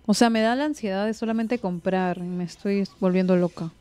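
A woman's voice: mid-range voice, a little fast, mellow. A young woman with a Peruvian accent chatting casually as a radio host at a studio mic. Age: young adult. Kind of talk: casual chat. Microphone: studio mic on a desk arm.

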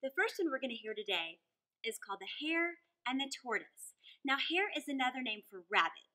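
High, animated woman storyteller. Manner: animated, drawn-out emphasis. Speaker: children's storytime host. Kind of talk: expressive read-aloud storytelling for children. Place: outdoors among rocks and shrubs.

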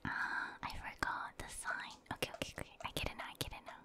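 Whispering woman doing gaming ASMR. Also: quick pace. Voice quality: soft unvoiced whisper. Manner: casual, slangy, reactive muttering to viewers. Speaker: streamer, gaming ASMR creator. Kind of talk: whispered ASMR gameplay commentary.

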